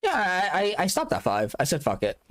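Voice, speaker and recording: A man's voice: high-pitched, huge pitch swings, normal speed, soft background music. A young adult man streaming a game in casual chat, joking about himself and laughing often. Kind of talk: casual live-stream chat while gaming.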